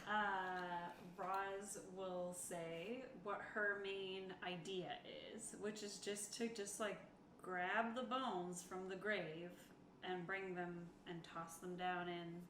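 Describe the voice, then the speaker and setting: A woman's voice: fairly low pitch, level tone, takes it slowly, a bit bright. A woman talking casually as a player in a tabletop roleplaying game. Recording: echoey room, clear background noise.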